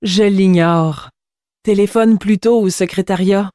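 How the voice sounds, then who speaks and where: A woman's voice: medium pitch, fairly even intonation, a little slow, warm tone, no room sound, studio quality, natural voice. A woman playing a matter-of-fact young university student in a scripted exam dialogue. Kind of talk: acted language-exam dialogue.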